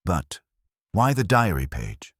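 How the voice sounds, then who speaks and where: A man's voice: low voice, big pitch swings, takes it slowly, fairly bright tone, slightly rough, no echo, clean studio sound, full character voice. A man voicing an eager, deferential police sergeant in a detective audio drama, earnest and questioning. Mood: eager, puzzled.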